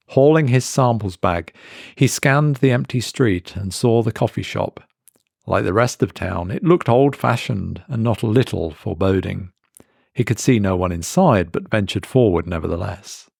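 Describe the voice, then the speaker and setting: A man reading a literary horror story as narrator, in a measured storytelling manner. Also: on the low side, creaky, darkish tone, dry recording, clean studio sound.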